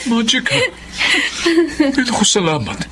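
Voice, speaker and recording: A well-meaning but flustered Filipino father in a TV melodrama. His pitch leaps about in an extremely animated way. The scene is clearly noisy. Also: measured pace, exaggerated voice. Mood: flustered, coaxing. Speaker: actor in a drama. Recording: clearly noisy location sound.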